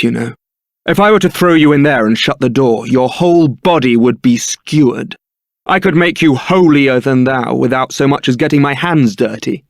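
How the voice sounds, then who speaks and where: Guy doing a young british knight for a video game, smooth rogue charmer, real dry studio sound. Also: medium pitch, dry recording, lightly acted. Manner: suave, teasing, polite. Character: suave young male templar knight, roguish and charming. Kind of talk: scripted video game character acting.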